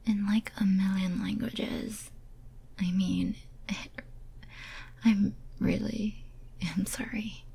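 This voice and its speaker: Casting: actress for lonely caged female demon, ASMR roleplay. Very breathy whisper, slow, tender and hesitant. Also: mid-range voice, fairly even intonation, mellow, heavily acted. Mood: apologetic. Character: lonely, clingy, pleading female demon sealed in a cage.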